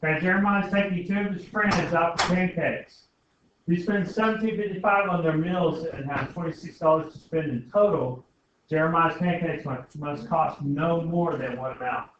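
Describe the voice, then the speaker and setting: A man's voice: a little high, normal speed, a bit bright. A man teaching a class informally, often checking in with questions. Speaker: algebra teacher. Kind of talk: classroom lesson, thinking aloud with questions to students. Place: indoor classroom. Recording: very echoey classroom, distant mic, muffled, faint noise.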